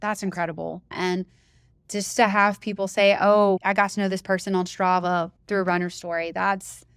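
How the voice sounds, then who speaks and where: A woman who hosts a running podcast, talking as an interview guest in a loud, emphatic, enthusiastic way and laughing easily. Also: mid-range voice, flat delivery, normal speed, clear, resonant tone, dry recording. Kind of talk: casual interview chat.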